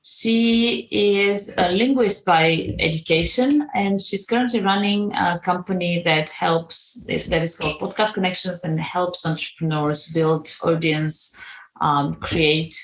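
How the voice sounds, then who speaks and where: A woman hosting a podcast, lively and quick to laugh as she chats with her guest. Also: fairly low pitch, echoey room, poor audio. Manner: lively, laughs, acts out her own reactions. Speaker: podcast host. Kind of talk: scripted intro then casual interview talk.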